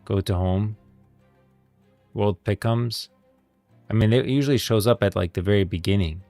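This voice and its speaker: A man's voice: fairly low pitch, normal speed, mellow, no room sound, faint music behind. A man hosting a livestream, chatting casually and thinking aloud to viewers in informal, slangy language. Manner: informal slangy talk addressing viewers as guys and bros. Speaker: livestreamer and host.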